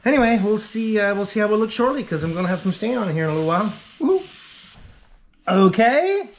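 Middle-aged guy, kinda high voice, chatty and excited, working in a boat cabin, faint noise behind. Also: quick pace. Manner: enthusiastic, chatty, with frequent fillers and laughs. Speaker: boat restorer and vlogger. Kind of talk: casual workshop narration. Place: wooden boat cabin interior.

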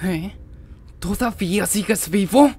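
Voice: medium pitch, slow, a little mellow, husky, no room sound. An actor voicing a petulant, anguished villainous angel in a fandub, given to indignant whining. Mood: smug, cold. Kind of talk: fandub character acting.

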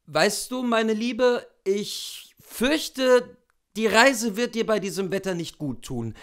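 A man voicing a distressed gentleman husband, earnest and pleading, in a dramatised classic story reading. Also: high voice, expressive, slow pace, full character voice. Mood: coaxing.

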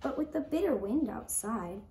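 Female children's storyteller, highish voice, deliberate, expressive, roomy sound with faint noise. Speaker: storyteller and puppeteer. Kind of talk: slow children's storytelling with puppets. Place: indoor room set up as puppet stage.

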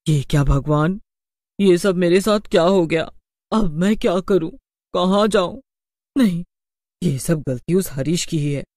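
A man's voice: high-pitched, unhurried, darkish tone, no room sound, clean studio sound. A man voicing a vengeful female witch ghost in a cartoon horror story, with a menacing, accusing, dramatic delivery. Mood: anguished, resolute. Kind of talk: animated horror story dialogue.

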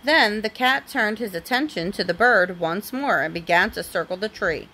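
A woman's voice: mid-range voice, animated, normal speed, sharp tone, rough recording. A woman reading a storybook aloud expressively to a young child, as a parent does at bedtime.

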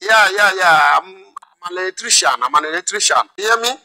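Cheery nigerian guy in his 30s on a video call, high bright voice, says everything twice. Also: fast. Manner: repeats each phrase, cheerful and friendly. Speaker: video call chat partner. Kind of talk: casual video call chat. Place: indoors at home.

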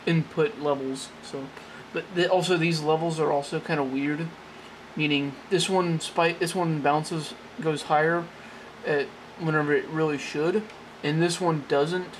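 A man giving a casual, unscripted how-to demonstration in a halting, rambling way, often correcting himself, talking from behind a handheld camera. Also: fairly high pitch, fairly even intonation, slight background noise, poor audio. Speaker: amateur tech tutorial presenter. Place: small indoor room at a desk. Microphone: handheld camera, off-screen close behind it.

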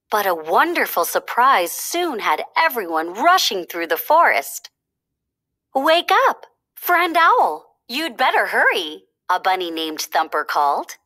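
A woman narrates a children's storybook in a bright, clear-cut storytelling manner. She takes her time, and her pitch moves in lively, expressive swings as she reads aloud.

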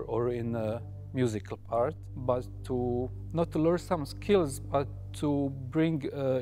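A young man from Croatia talks with stops and restarts. His voice is mellow and mid-pitched, with soft music behind. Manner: halting, restarts. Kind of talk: casual interview.

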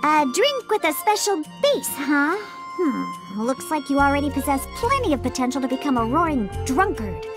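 A woman's voice: high-pitched, big pitch swings, normal speed, hardly any pauses. A woman voicing a small, proud, grumpy young cat-girl bartender in huffy, scolding anime game dialogue. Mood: smug, determined. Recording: background music under the dialogue.

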